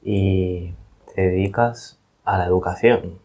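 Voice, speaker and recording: A man's voice: low voice, fairly even intonation, slow, dark tone. A man hosting a podcast in a casual, conversational interview style. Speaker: podcast host.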